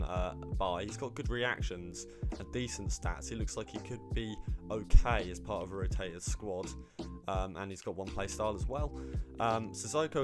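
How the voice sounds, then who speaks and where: A man, slightly hoarse, rambling casually about his football game squad with lots of ums and restarts. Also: on the low side. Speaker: gaming YouTuber.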